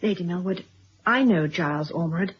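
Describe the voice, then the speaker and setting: An actress playing a brisk, sensible, composed woman in a radio drama, firm but polite, with a breathy voice. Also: lowish voice, slight background noise, natural voice. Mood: composed, tactful. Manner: firm, crisp commands, polite. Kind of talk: 1970s radio drama acting. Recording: dull old radio recording.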